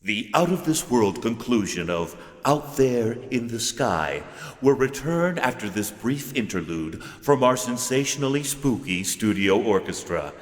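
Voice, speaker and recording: Casting: arch macabre male radio host, slow and low, drenched in cavernous reverb. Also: big pitch swings. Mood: grandly spooky. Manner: pompous, theatrical, tongue-in-cheek menace. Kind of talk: old-time horror radio announcing.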